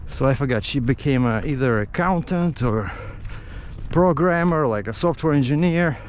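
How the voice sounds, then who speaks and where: A middle-aged man from Russia with a Russian accent tells stories casually in English as a non-native speaker, haltingly searching for words. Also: medium pitch, lively intonation. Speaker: vlogger. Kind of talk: casual rambling storytelling. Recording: outdoor street noise with traffic.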